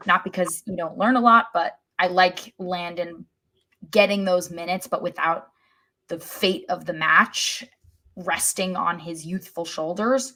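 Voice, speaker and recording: Female soccer podcast co-host, fairly low pitch, conversational, choosing words deliberately. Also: a bit bright. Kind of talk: casual sports discussion.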